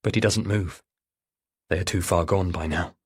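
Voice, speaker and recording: A man narrating a thriller audiobook in a measured, dramatic way. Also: low-pitched, fairly even intonation, breathy edge.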